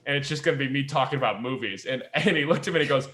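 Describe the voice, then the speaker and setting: A man chatting casually as a podcast host, telling stories in an animated way. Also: mid-range voice, racing, soft background music. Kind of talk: casual talkback podcast chat.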